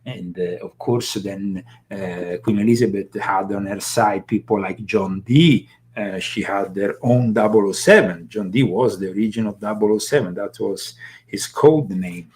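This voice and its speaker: A man's voice: lowish voice, unhurried, a little mellow. A man hosting a live commentary show, talking in a rambling way with many fillers and restarts and bursts of emphasis. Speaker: host.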